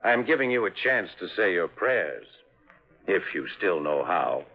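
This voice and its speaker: A menacing hired killer taunts his target with cold threats. His pitch moves widely as he talks, in classic 1950s hardboiled radio style. The recording is slightly muffled and vintage. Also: very animated, a little slow, full character voice. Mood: cold menace.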